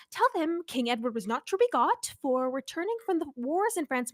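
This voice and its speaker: A woman's voice: high-pitched, no echo, studio quality. A student actress playing a scheming, wrathful male would-be king in a Shakespearean radio play, theatrical and commanding. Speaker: student actor.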